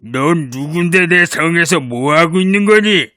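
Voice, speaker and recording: Man as a swaggering cartoon giant, arrogant, pitch swooping up and down, louder than the cat. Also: lively intonation, measured pace, no room sound, faint music behind. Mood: boastful. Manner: arrogant and bragging. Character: boastful huge male shape-shifting giant.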